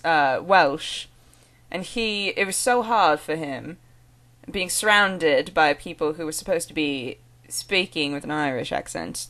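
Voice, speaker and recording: A woman from the American Northwest speaking in a put-on British accent, chatting casually as a vlogger in a rambling, halting, amused way. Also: low-pitched, fairly bright tone. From American Northwest (Oregon). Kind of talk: casual chat to camera with read-out one-liners.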